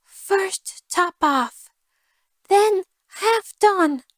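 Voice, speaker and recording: A woman's voice: very high-pitched, expressive, drawn-out words, no echo, voice pushed up, exaggerated voice. A woman voicing a small, naive female mouse in a storybook, thin-voiced, earnest and exclamatory. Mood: distressed, accusing.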